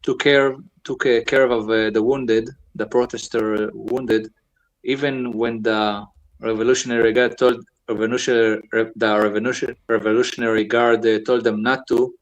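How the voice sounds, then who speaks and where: Male caller, Israeli accent, halting delivery, lots of pauses while he hunts for words. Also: medium pitch, level tone, highs cut off. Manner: hesitant, many fillers and restarts, searching for words. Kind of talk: casual call-in chat.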